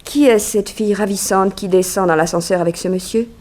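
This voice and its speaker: A woman's voice: mid-range voice, steady tone, brisk, darkish tone, close to an everyday voice. An actress playing a curious, polite woman reporter in a 1960s French radio drama, attentive and well-spoken. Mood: uneasy. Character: polite, curious woman newspaper reporter in 1920s New York.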